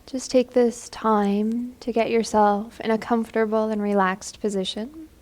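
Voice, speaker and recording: A woman leading a guided meditation in a gentle, soothing, unhurried manner. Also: on the high side, fairly even intonation.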